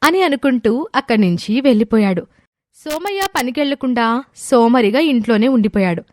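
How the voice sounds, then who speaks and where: Higher-pitched woman narrating a story. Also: animated, moderate pace, darkish tone, dry recording, studio quality. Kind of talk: scripted moral story narration.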